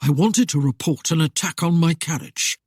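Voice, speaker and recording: A man's voice: a little high, moderate pace, darkish tone, slightly rough, no echo, clean studio sound. A man voicing an icy, curt nobleman. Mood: cold. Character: cold, curt, aloof nobleman. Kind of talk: audiobook character voice.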